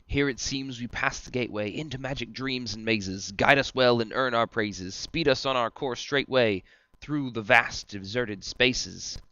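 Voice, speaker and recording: A man plays a boisterous, commanding devil who guides climbers through verse drama. The delivery is theatrical and declamatory, with a bright, forceful tone in a dry recording. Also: medium pitch, unhurried, no echo, dull audio. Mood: hearty, commanding. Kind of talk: amateur dramatic reading of verse.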